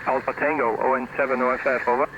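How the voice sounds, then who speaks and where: A man's voice: mid-range voice, flat delivery, fast, lo-fi sound. A man talking casually as an amateur radio operator, heard through a shortwave radio transmission. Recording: noisy ham radio reception. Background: clear radio noise.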